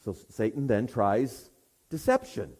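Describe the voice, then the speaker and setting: A man preaching an expository sermon in an emphatic manner, with rhetorical questions and deliberate pauses for weight. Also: medium pitch, huge pitch swings, darkish tone, husky.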